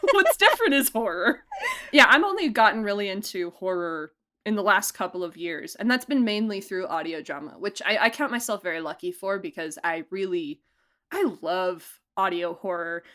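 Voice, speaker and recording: A young woman producer and director being interviewed, talking with enthusiasm, laughing often and speaking in quick bursts. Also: mid-range voice, animated, bright, forceful tone. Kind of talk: podcast interview.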